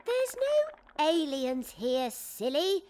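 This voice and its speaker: Woman playing a very high-pitched little girl adventurer. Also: big pitch swings, slow, a little mellow, slight background noise, heavily acted. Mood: teasing. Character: curious young girl adventurer, practical and chatty. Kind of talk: children's storybook character voice.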